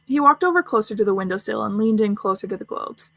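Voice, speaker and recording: Woman narrating a fanfic, reads quick, bit of vocal fry, dry close mic. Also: medium pitch, lively intonation, fairly bright tone, no echo. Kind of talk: scripted fan fiction narration.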